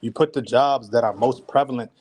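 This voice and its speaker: A man who coaches esports, chatting casually on a podcast and explaining things in a relaxed way full of fillers. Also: mid-range voice, brisk. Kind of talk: casual chat.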